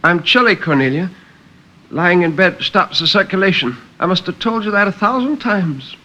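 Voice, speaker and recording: A man's voice: high voice, expressive, moderate pace, pushed and bright, voice pushed up. A male reader voicing a querulous, proud, bedridden eighty-year-old grandmother with a fretful, complaining manner. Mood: fretful, demanding. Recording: dull vintage 1950s radio recording.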